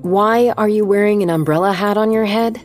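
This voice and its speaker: A woman's voice: mid-range voice, moderate pace, darkish tone, soft background music, lightly acted. A woman playing a determined, wry small-town police chief who narrates a dramatized audiobook in a firm, dry way. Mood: dryly baffled. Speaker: narrator and lead character.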